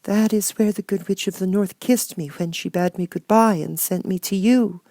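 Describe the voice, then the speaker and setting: A man's voice: high voice, normal speed, dark tone, no echo, studio quality, pitched above the performer's own voice. A man voicing a small, meek young girl in a storybook, timid and earnest in her pleading.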